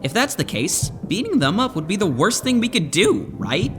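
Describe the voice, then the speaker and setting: A level-headed teenage boy idol acted by a man reasons in a high, very animated voice. The pitch swings widely in expressive anime dub fashion, over clearly audible music. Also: normal speed. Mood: cautioning. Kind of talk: anime fan dub acting. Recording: music under the voice.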